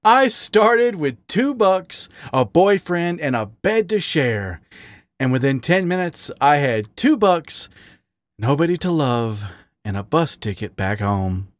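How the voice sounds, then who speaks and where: A man's voice: on the high side, big pitch swings, takes it slowly, no room sound, voice pushed up. A man voicing a wounded, self-mocking male raccoon who speaks with brittle wryness. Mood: wry. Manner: wry, brittle, raised voice.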